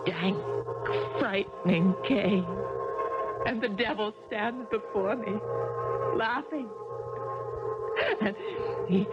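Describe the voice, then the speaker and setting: A woman's voice: mid-range voice, lightly acted. An actress playing a troubled woman tennis champion who drinks, dazed and pleading at times, guarded and dry at others. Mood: dazed terrified. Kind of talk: 1970s radio drama acting. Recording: dull lo-fi 1970s radio broadcast with music.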